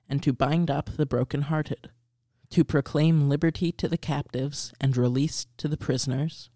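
A man's voice: fairly high pitch, warm tone, dry recording, studio quality. A man reading scripture aloud as a prepared reading. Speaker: scripture reader.

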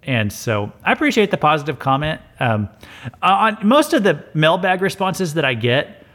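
A man's voice: on the high side, sing-song, moderate pace, a bit bright. A man hosting a Bible study podcast, answering listener mail in a casual, earnest way with frequent fillers and restarts. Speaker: podcast host. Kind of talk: casual mailbag commentary.

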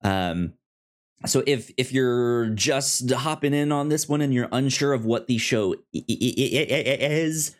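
A man's voice: medium pitch, unhurried. A man co-hosting a podcast in casual conversation, with a stutter that repeats syllables and short words. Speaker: podcast co-host. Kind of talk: casual chat and show recap.

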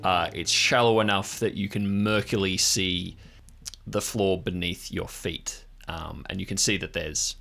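A man improvising scene descriptions as game master of an audio drama, pausing between phrases. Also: low-pitched, fairly even intonation, vocal fry, pushed and bright, faint music behind.

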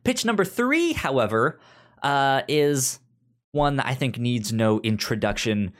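A man hosts a podcast in a conversational way, sometimes slipping into reading. His voice sits at a middle pitch, and he stutters, repeating sounds and words as he goes. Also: sing-song, a little slow. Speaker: podcast host. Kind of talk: conversational pitching of media picks, partly reading synopses.

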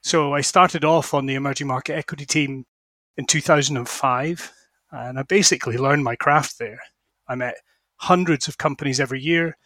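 A Scottish man in his forties, a fund manager telling his career story in a measured, reflective way on a podcast. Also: mid-range voice, sharp tone, dry recording. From Scotland. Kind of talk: podcast interview.

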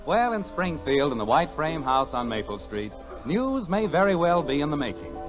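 A man's voice: on the high side, sing-song, normal speed, over music. A man playing a wry, folksy announcer setting the scene in scripted vintage radio narration. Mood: wry, measured. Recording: muffled old radio broadcast recording.

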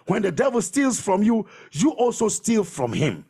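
High-pitched, husky male preacher. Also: very animated, moderate pace, dark tone. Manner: emphatic, exhortatory, repeating phrases for emphasis. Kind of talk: sermon.

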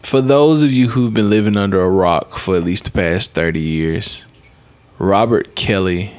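A man in his late twenties hosting a podcast, talking in a relaxed, profane, joking way. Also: deep voice, moderate pace. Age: late 20s. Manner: laid-back, profane, joking. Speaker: podcast host. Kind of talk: casual chat.

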